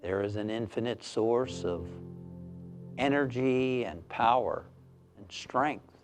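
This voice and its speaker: A man leads a guided meditation in a soothing, medium-pitched voice. He speaks very slowly and calmly, leaving long pauses between short phrases. Soft music plays faintly behind him. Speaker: minister leading a guided meditation.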